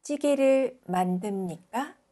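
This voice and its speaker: Slow, higher-voiced woman teaching Korean. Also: animated, warm tone. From South Korea. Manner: careful, deliberate enunciation. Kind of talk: scripted reading of example sentences.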